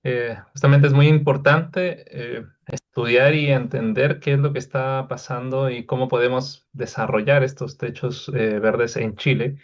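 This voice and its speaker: A man's voice: mid-range voice, fairly even intonation, a little slow. A man moderating a conference panel, introducing speakers with many fillers. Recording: dull lo-fi sound.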